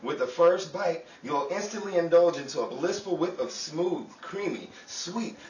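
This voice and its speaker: A man playing an eager, smooth-selling 1930s radio advertisement announcer. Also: fairly high pitch, expressive, measured pace, darkish tone. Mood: salesy, eager. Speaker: commercial announcer. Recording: echoey stage, distant mic, audience hiss.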